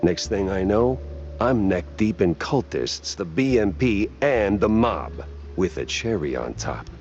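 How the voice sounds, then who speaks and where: An actor playing a world-weary, wisecracking cartoon private detective with a wry, laconic delivery. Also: male voice, deep voice, animated, a little slow, a little mellow, dull audio, a little hiss or hum. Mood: accusing, cool. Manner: wry, laconic, hesitant drawl. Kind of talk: 1930s hardboiled detective game acting.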